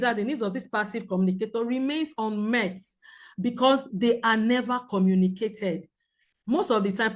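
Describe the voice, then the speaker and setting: A woman counsellor with a loud voice, teaching a group in a clear, measured way with examples. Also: on the high side. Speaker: premarital counsellor. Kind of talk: teaching a seminar talk.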